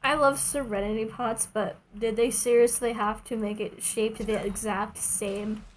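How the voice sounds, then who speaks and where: A woman doing casual, chatty livestream commentary while playing a game, playful and quick to swear. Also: fairly high pitch, steady tone. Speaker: game streamer.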